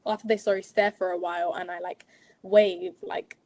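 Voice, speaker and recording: A woman's voice: mid-range voice, big pitch swings, moderate pace, vocal fry, a little mellow, no echo. A young woman, a student abroad, talking casually with many fillers in a dry, close recording. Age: young adult. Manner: talkative, filler-heavy. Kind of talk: casual conversation.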